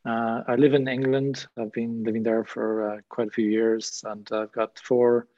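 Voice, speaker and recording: A man's voice: mid-range voice, level tone, normal speed, no echo. A middle-aged Irish man speaking as a guest trainer in a webinar, discursive with frequent fillers. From Ireland. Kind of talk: webinar Q&A talk. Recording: dull lo-fi sound.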